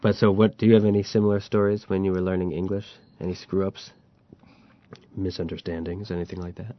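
Man, deep voice, casual podcast chat, storytelling, dry dull sound. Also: little pitch movement, fairly quick. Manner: chatty, storytelling, laughs while telling. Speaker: podcast host. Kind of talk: casual chat about learning Chinese.

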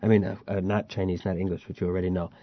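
Man, deep voice, casual podcast chat, storytelling, dry dull sound. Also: little pitch movement, racing, dry recording. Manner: chatty, storytelling, laughs while telling. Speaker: podcast host. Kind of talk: casual chat about learning Chinese.

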